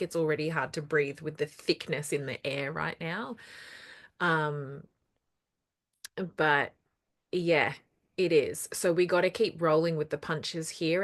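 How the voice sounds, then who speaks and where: A woman with a somewhat low-pitched voice talks straight to her viewers, casual but earnest. She leans on repeated words to drive points home and sounds reassuring. A slight lingering cough from recent throat trouble stays with her. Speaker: numerology channel host. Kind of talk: casual solo talk to camera.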